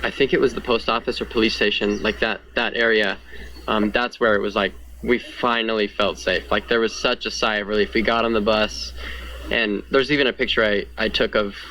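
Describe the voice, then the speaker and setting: A man's voice: fairly low pitch, fairly even intonation, fairly quick, bright, forceful tone, low-quality recording. A young man giving an earnest, conversational personal account in an interview, often saying "like" as he goes. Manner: earnest, conversational, frequent 'like'. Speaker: fire survivor being interviewed. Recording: clear background noise.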